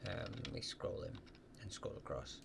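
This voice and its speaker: A man hosting a live question-and-answer stream, talking casually and haltingly with many ums and restarts as he answers viewers. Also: noisy background.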